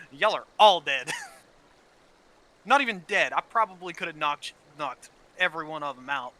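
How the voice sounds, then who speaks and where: A man's voice: fairly high pitch, animated, takes it slowly, long pauses, pushed and bright, rough recording. A man in casual, heated gaming chat, swearing often and repeating words as he gets his point out. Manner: frequent swearing, heated and opinionated. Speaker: gaming channel co-host. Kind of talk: casual gameplay chat about story.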